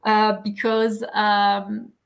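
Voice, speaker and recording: A woman from Serbia, speaking English as her second language with a Serbian accent, talking as an interview guest in a measured way with many filler pauses. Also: mid-range voice, completely flat delivery, gravelly. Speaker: guest, magazine editor. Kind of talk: casual interview chat.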